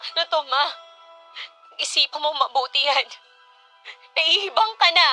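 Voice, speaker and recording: A woman's voice: high voice, moderate pace, bright, forceful tone, soft background music. A woman playing a headstrong, suspicious adult daughter in a Tagalog radio drama. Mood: indignant, suspicious.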